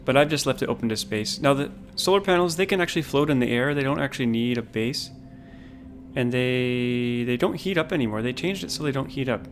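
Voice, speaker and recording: Calm, low-key man streaming a game. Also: slight background noise. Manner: relaxed, unhurried, thinks aloud with restarts. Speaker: livestreamer. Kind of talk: casual gameplay commentary.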